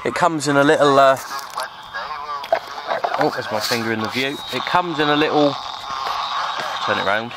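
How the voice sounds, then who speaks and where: A man giving casual, halting, unscripted commentary for an outdoor hobby vlog, recorded on a handheld camera. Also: medium pitch, very noisy. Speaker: vlogger, CB radio hobbyist. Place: open hillside under trees, outdoors. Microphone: handheld camera microphone.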